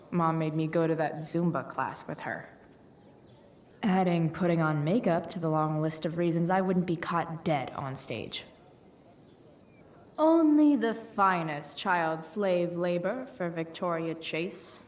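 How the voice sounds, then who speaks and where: A woman's voice: fairly low pitch, slow, darkish tone, recorded from a distance. A woman acting a sardonic, rebellious American teenage girl in a video game, with a dry, slangy delivery. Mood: snarky. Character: sardonic rebellious teenage girl, a punkish high-school dropout.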